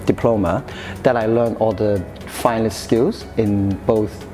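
A man's voice: on the low side, fairly even intonation, slow, mellow, faint music behind. A man in his thirties from Hong Kong, raised in the UK, answering interview questions about his studies in a hesitant way, with frequent fillers and restarts. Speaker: interview guest, chef. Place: café-style room with sofa, indoors.

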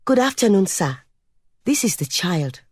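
A woman voicing a brisk, bossy village aunt in an audiobook, instructive and emphatic. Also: mid-range voice, big pitch swings, slightly rough, no room sound, studio quality, pitched above the performer's own voice. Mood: deferential. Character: brisk, bossy village aunt coaching her young nephew.

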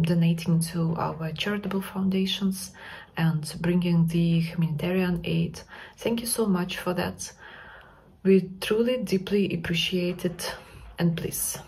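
Creaky, low-voiced Ukrainian-accented woman. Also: darkish tone, poor audio. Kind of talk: prepared video statement.

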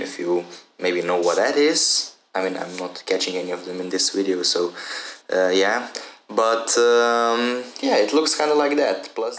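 Low-voiced man, rambling voice-over, echoey. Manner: relaxed, rambling, many fillers. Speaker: fishing channel host. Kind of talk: casual voice-over commentary.

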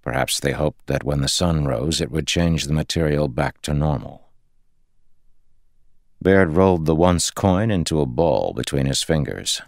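A man with an extremely deep voice narrates a fantasy audiobook. His tone has a gravelly creak, and the recording is dry and close. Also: measured pace, a little mellow, no room sound. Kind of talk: scripted fantasy fiction narration.

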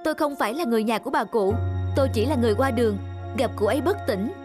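A woman voicing a flustered, kind-hearted young woman who talks quickly and anxiously, for an animated story. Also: on the high side, natural voice. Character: young working woman, hesitant but kind. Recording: loud background music.

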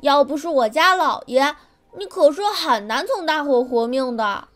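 Woman acting Xiaofeng, a high-voiced young servant. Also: lively intonation, normal speed, fairly bright tone, faint music behind. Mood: bright, teasing. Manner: earnest, youthful, deferential. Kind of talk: costume radio drama acting.

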